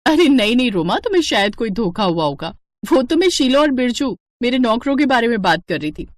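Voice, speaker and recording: A woman's voice: fairly high pitch, sing-song, brisk, no echo. A woman voicing a status-conscious, evasive wealthy wife in a cartoon moral story, with a placating manner. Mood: covering up. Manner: placating, excuse-making.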